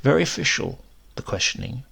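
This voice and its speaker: A man reading a horror story as a shaken, rambling accident survivor, halting and conversational, brooding and prone to outbursts. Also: mid-range voice, big pitch swings, creaky, bright, forceful tone, slightly rough, full character voice. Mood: wry, bitter. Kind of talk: dramatic first-person horror story reading.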